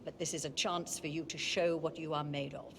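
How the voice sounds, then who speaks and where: A woman's voice: sits low, level tone, brisk, background noise. A woman playing a cold, commanding elderly matriarch of an elite family in a video game, speaking in a curt, formal way. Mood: stern, composed. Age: older. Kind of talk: cinematic video game acting. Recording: music behind the voice.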